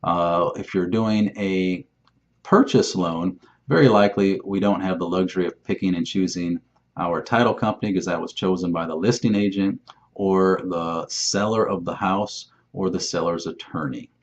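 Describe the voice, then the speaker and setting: A man's voice: low-pitched, fairly even intonation, moderate pace. A man giving an informal explainer as a lender, plain-spoken and emphatic, often posing questions and answering them himself. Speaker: mortgage lender giving advice. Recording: dull, muffled lo-fi sound.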